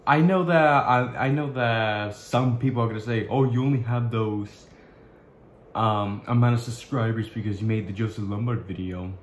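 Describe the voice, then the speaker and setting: A young man vlogging to his webcam in a small bedroom, talking in a halting way with many restarts as he searches for words. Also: fairly low pitch, reverberant, slight background noise. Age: young adult. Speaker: YouTuber. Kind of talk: casual vlog to camera.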